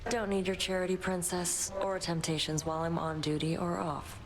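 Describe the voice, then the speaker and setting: A woman voices a proud, surly warrior from a video game. Her voice is low and her phrases are blunt, clipped and full of contempt. Clear ambient noise lies behind her. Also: little pitch movement, normal speed, natural voice. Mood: scornful, curt. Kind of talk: scripted video game dialogue.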